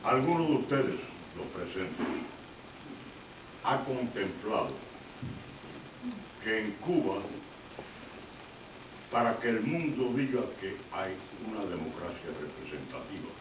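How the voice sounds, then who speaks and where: A man in the audience asking the panel a question in a measured way. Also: lowish voice, sing-song, reverberant, far from the mic, background noise.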